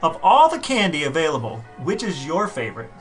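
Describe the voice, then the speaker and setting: Slightly high-voiced wry man co-hosting. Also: sing-song, fairly quick, soft background music. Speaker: podcast co-host. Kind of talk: casual chat and interviewing.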